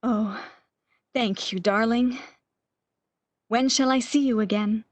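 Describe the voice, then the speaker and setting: A woman's voice: on the high side, fairly even intonation, slow, no room sound. A woman playing a frail, fearful, lovesick woman, pleading emotionally in an amateur radio drama. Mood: anxious, tender.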